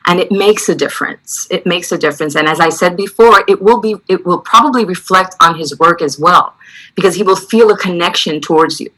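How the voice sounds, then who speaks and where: Bright, forceful woman leading discussion. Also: on the low side, fairly quick, poor audio. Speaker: meeting facilitator. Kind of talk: responding to colleagues in a staff discussion.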